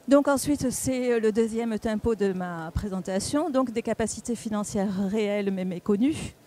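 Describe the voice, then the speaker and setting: A speaker giving a public presentation at a round table, explaining didactically in a halting way, with repeats and self-corrections. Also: medium pitch, mellow. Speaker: conference speaker presenting on local government finances.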